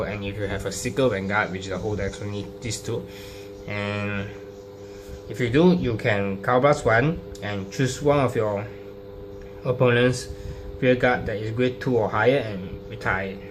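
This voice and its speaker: A man with a fairly low voice talks through a trading card deck in a casual, off-the-cuff way. His delivery is halting, with frequent ums as he explains each card. The home recording has clear noise and a hum behind him. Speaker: trading card game player presenting a deck profile.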